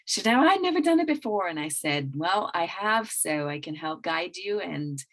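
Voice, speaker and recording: Low-voiced woman with a mixed accent. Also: sing-song, moderate pace. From England. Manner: rambling with many restarts and fillers. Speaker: guest business coach. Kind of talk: casual interview talk.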